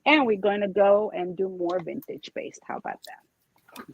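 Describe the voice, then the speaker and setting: A woman's voice: mid-range voice, lively intonation, a little slow, vocal fry, lo-fi sound, noisy background. A woman with an Israeli accent tinged with New York, chatting as she narrates her steps in a live craft demonstration. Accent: Israeli with New York traces. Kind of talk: live crafting demo chat.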